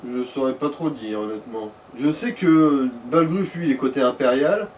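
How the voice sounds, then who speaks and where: A man's voice: medium pitch, normal speed, darkish tone. A man chatting casually as a co-commentator, explaining things in colloquial, informal speech. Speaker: let's play co-commentator. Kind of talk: casual chat. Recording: distant mic, faint room noise.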